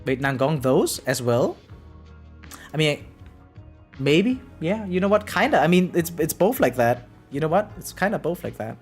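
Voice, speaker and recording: Thirties game streamer, medium pitch, casual rambling reactions, checking in with "right?", faint music. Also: big pitch swings, moderate pace. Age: 30s. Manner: rambling, keeps adding "right?". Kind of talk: casual live reaction commentary.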